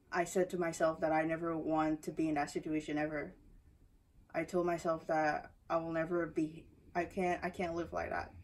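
Young guyanese woman talkin to camera, kinda low monotone, lots of ums, sounds a bit far off. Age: young adult. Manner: soft-spoken, hesitant, many ums and likes. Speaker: athlete introducing herself. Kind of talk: personal story told to camera. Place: small indoor room before a banner. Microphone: camera a few feet away.

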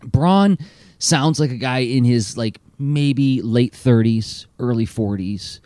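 A man co-hosting a podcast in casual chat, animated and full of fillers. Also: medium pitch, takes it slowly, no echo, studio quality. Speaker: podcast co-host.

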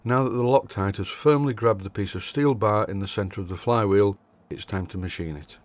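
Older Yorkshire man, low-pitched, measured narrator with dry humour. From Yorkshire, England. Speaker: hobby machinist presenter. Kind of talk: scripted workshop voice-over.

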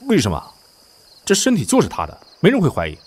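A man acting in a costume audio drama as a flustered, brash young man posing as a prince, theatrical and quick to protest or mutter asides. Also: on the high side, wildly swooping pitch, a little mellow, slight background noise. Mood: pleading, defiant.

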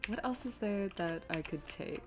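A woman's voice: medium pitch, lively intonation, moderate pace, noisy background. A woman giving casual live commentary as she streams a video game, muttering to herself in an exasperated, self-deprecating way.

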